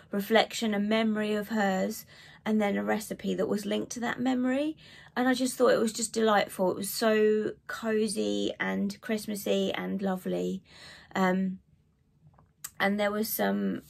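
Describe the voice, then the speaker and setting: A woman in her thirties with a cold and a croaky voice, chatting to camera about books she read, with lots of ums and asides. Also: medium pitch. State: hoarse from a cold. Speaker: book vlogger. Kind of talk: casual chat to camera. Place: living room with bookshelves.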